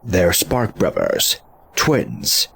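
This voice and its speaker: A man's voice: low-pitched, wildly swooping pitch, drawn-out words, gravelly, fairly bright tone, husky, heavily acted. A man playing an earnest, plain-spoken robot squad leader in an audio drama. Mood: curious, earnest. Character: earnest, principled robot hero leading a squad.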